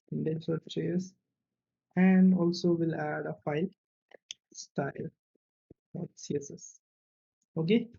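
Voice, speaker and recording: A man's voice: fairly high pitch, vocal fry, dark tone, low-quality recording. A man giving an unscripted, step-by-step programming tutorial, thinking aloud as he works and often checking in with a short questioning tag. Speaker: coding tutorial instructor.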